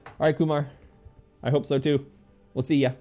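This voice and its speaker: A man's voice: a little high, flat delivery, moderate pace, dark tone, soft background music. A man streaming a game, reading its text aloud with casual asides to his viewers. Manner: stumbles over names and corrects himself.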